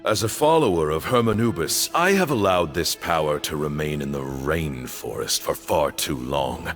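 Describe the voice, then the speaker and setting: An old, frail but proud temple leader in a fantasy game. His very low voice moves slowly and swings widely as he makes solemn, grandiose pronouncements, with music clearly behind him. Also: animated, a bit bright. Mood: solemn, stern. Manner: slow, formal, grandiose. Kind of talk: dramatic fantasy game voice acting.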